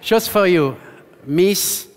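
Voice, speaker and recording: A man's voice: high-pitched, lively intonation, very slow, noisy background. A man in his sixties with a French accent, playfully coaxing on a large theatre stage. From France. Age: 60s. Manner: playful, coaxing, broken English. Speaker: ventriloquist contestant. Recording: loud music and roaring crowd.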